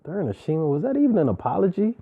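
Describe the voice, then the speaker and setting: A man's voice: high voice, sing-song, very fast, gravelly, slightly rough. A man giving a casual, opinionated recap of a reality show, talking in an emphatic, slangy way with preachy asides. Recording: tinny phone-like sound.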